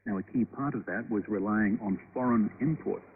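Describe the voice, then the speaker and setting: Echoing, lowish male analyst on shortwave. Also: moderate pace. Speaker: think-tank analyst. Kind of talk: expert commentary. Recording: reverberant, narrow, noisy shortwave interview clip.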